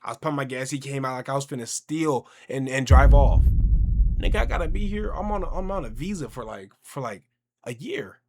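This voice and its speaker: A young man with a mid-pitched voice hosts a casual reaction commentary. He talks in an animated, conversational way with plenty of slang. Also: fairly quick, noisy background. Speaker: reaction video host.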